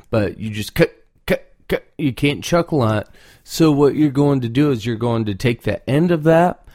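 A man with a mid-pitched voice talks through a craft lesson off the cuff. He takes his time and often repeats words to stress a point. The sound is dry, with very little room in it. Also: a little mellow, no echo. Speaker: duck call maker giving advice. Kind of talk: informal off-the-cuff instructional talk.

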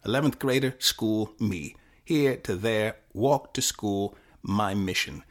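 A man playing a confused, fatalistic teenage boy who narrates his own short story in halting, self-correcting fragments. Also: on the low side. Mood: nervy, muddled. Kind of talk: first-person dramatic short-story performance.

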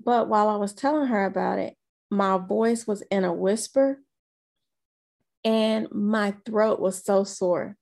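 A woman in her thirties slowly recounting a personal experience, halting often with fillers. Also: medium pitch, fairly even intonation, gravelly, darkish tone. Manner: slow, halting storytelling with many fillers. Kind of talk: personal testimony.

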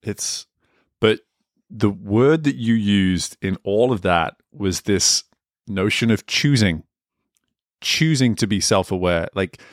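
A man hosting an interview, speaking in a measured, reflective way and adding frequent short affirmations. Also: on the low side, expressive, dry recording, studio quality. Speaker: host. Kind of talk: conversational interview.

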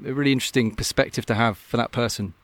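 A man's voice: mid-range voice, steady tone, racing, a bit bright, no echo. A man who works as an open-water swim coach, talking earnestly and thoughtfully in a casual podcast interview, often restarting his sentences. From Scotland.

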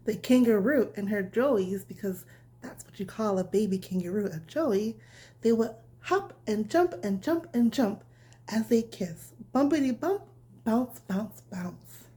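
A woman reading a picture book aloud as a bedtime storyteller, speaking in a nurturing, simple way as if to a small child, with a breathy voice that often drops toward a whisper. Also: mid-range voice, expressive, measured pace, warm tone.

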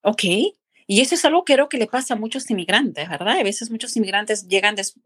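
A woman's voice: fairly high pitch, a little fast, slightly rough. A woman hosting a podcast, asking questions in a chatty way with frequent restarts. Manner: warm-up questions with many restarts and fillers. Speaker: podcast host. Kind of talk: casual interview.

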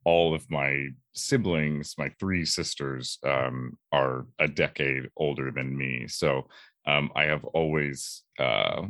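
A man with a bass timbre giving reflective interview answers as a voice actor, in a halting, thoughtful, measured way. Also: fairly bright tone, no echo, clean studio sound.

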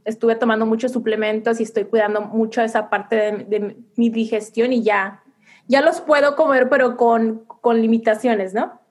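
A middle-aged woman with a high voice tells her story as a guest. She speaks earnestly and reflectively, often restarting her phrases. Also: fairly even intonation, normal speed, a little mellow. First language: Spanish. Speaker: psychologist and coach, guest. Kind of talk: conversational interview, personal storytelling.

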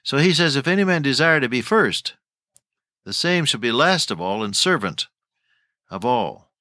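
A man's voice: medium pitch, sing-song, normal speed, pushed and bright, no room sound, clean studio sound. An older man teaching the Bible on radio, telling folksy stories and chuckling as he goes.

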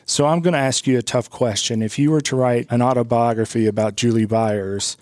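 A man's voice: mid-range voice, fairly even intonation, fairly quick, a little mellow, dry recording. A man speaking conversationally as a podcast co-host, steering the interview. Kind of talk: conversational hosting.

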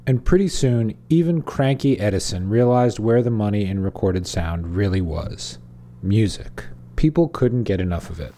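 Male host-narrator, low and mellow tone, dry humor in a scripted read. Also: expressive, moderate pace, slight background noise. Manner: wry, dry asides.

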